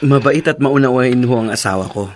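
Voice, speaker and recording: Dutiful grown son male actor, radio drama: gentle, reassuring, medium-pitched, respectful to his elders. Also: brisk, lightly acted. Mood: warm, reassuring. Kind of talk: Tagalog radio drama acting.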